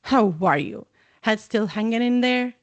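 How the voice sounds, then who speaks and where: A woman's voice: animated, a little slow, no echo, lo-fi sound. A woman voicing a hearty, boisterous male headless ghost who roars jovially. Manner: booming, jovial.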